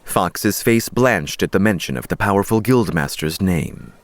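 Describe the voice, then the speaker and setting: A man narrating a fiction audiobook in a measured, dramatic storytelling style. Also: sits low, expressive, dry recording.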